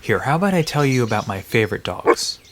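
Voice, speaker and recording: A friendly guide in an audio drama, explaining legends like a natural storyteller. His voice sits at an ordinary pitch for a man, with light outdoor ambience behind it. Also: very animated, fast, fairly bright tone. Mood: friendly, informative. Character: friendly park guide fond of myths and mythical dogs. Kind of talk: conversational audio drama acting. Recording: background noise with outdoor ambience.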